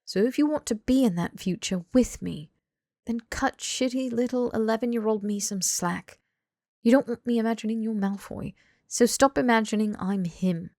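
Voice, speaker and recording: A woman's voice: on the high side, moderate pace, mellow, dry recording, clean studio sound, close to an everyday voice. A woman voicing an earnest, blunt young British man in audiobook dialogue, emphatic and pleading. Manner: emphatic, sweary, rambling tirades.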